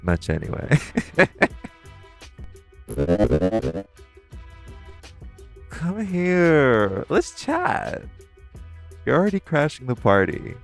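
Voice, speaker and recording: A man's voice: medium pitch, wildly swooping pitch, extremely slow, gravelly, long pauses, soft background music, exaggerated voice. A man playing a flirty, cocky supervillain master thief in an audio roleplay, teasing and theatrical. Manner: teasing, theatrical, purring, chuckling. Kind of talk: audio roleplay acting, intimate monologue to listener.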